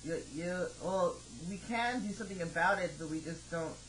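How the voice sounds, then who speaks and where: A man in his twenties talking haltingly and questioningly, like a customer service caller on a phone call. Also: high-pitched, a bit bright. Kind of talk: hesitant phone conversation. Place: home office, indoors. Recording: noisy home computer microphone recording.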